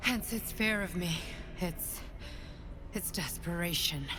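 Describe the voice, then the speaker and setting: Slow, low, solemn guardian woman. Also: background noise. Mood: grave, urgent. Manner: grave, earnest, measured. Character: weary, solemn psychic female guardian bearing a heavy burden. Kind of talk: dramatic video game acting.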